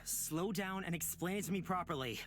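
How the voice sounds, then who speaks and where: A man's voice: sits high, normal speed, a bit bright, faint music behind. A man playing an earnest, protective, trusting young character in a fantasy visual novel. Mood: worried.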